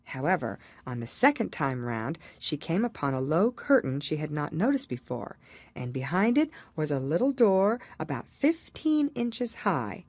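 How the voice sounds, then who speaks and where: A woman narrates a storybook in a low voice. Her delivery is expressive and measured, with very lively melody, and the sound is dry and close. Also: no echo. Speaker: audiobook reader.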